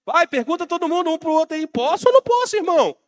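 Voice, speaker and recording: A man's voice: extremely high pitch, big pitch swings, fast talker. A Brazilian man preaching a sermon as pastor, rousing and calling out to his congregation, while he has a bad cold. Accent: Brazilian Portuguese.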